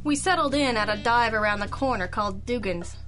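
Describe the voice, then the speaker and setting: Actress doing wry lady gumshoe, highish voice, old radio detective show, music under it. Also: a little fast, natural voice. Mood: wry narration. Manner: wry, slangy, hard-boiled. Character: wry, sassy female hard-boiled private detective, narrating and talking.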